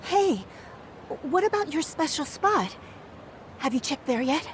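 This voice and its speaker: An actress playing a gentle woman who recalls an old friend's past in a conversational, wistful way for a video game cutscene. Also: sits high, big pitch swings, unhurried, a little hiss or hum. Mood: encouraging. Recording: studio voice with music behind.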